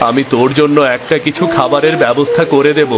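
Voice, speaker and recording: A man voicing a poor woodcutter, a gentle father who pleads and laments, in a cartoon story. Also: medium pitch, steady tone, fast, very noisy, close to an everyday voice. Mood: soothing, concerned. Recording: loud music under the voice.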